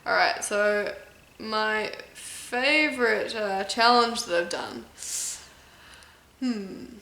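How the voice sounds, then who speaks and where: A woman with a mix of New Zealand and American accent, a competitive eater answering viewer questions in a chatty way, often pausing with "um" and tripping over names. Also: medium pitch, expressive, bright, forceful tone, roomy sound, low-quality recording. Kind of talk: casual Q&A vlog.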